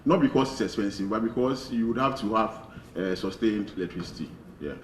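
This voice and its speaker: A man making halting, earnest remarks from the floor at a launch event, with restarts. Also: fairly low pitch, slight background noise. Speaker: audience speaker at a launch event. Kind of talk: spontaneous public remarks. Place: event hall. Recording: echoey hall from far off.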